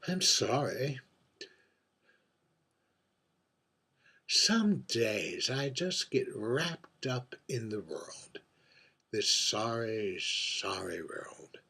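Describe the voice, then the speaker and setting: Male actor as older drag queen, stage monologue: husky, unhurried, camp, wry. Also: fairly low pitch, lively intonation, bright, forceful tone, full character voice. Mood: world-weary. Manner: camp, musing, tag questions and endearments. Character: aging world-weary drag queen, wry and theatrical, drinking at bar. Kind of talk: theatrical monologue.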